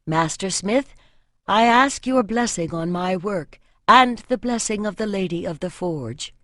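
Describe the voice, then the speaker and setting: A woman's voice: medium pitch, animated, unhurried, sharp tone, dry recording. Woman acting a solemn priestess, dry and ceremonial. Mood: reverent request. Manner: ceremonial, incantatory, formal. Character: solemn, devout priestess of an ancient land. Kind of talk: fantasy audiobook character voice.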